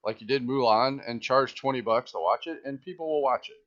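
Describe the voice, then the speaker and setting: A man's voice: mid-range voice, fairly quick, a bit bright, lo-fi sound. A man co-hosting a casual movie podcast, laid-back and blunt.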